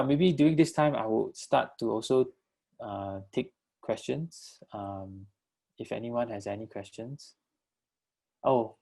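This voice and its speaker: Hesitant low, gravelly man presenting. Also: dark tone, rough recording. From Malaysia. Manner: halting, many fillers and restarts. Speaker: co-founder presenting his enterprise. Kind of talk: virtual talk with live questions.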